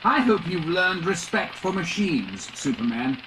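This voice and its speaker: A man's voice: sits high, sing-song, background noise. A man playing a vengeful, taunting machine villain in a video game. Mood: menacing taunts. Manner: slow, taunting, menacing. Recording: echoey, distant, music underneath.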